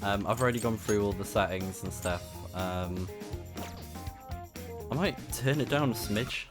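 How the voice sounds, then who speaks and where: A man's voice: lowish voice, unhurried. A young man with a slightly scratchy voice giving enthusiastic, casual live commentary as he plays a video game. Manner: enthusiastic, thinking aloud. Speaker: game streamer. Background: game music.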